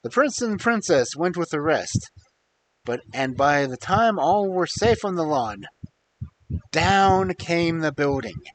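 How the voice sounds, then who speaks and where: Unhurried male storyteller with lively pitch. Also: rough recording. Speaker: storyteller, live stream host. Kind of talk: expressive fairy tale narration. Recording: faint background hiss.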